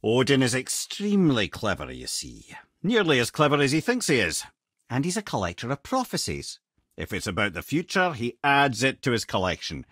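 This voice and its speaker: An old, learned Scottish advisor from a fantasy video game. His voice is husky and swings expressively up and down as he spins tales with wry, colloquial eloquence. The sound is dry, with no room around it. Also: medium pitch, wildly swooping pitch, moderate pace, fairly bright tone, no room sound. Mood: earnest. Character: witty, learned old severed-head advisor and storyteller. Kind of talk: video game character dialogue and storytelling.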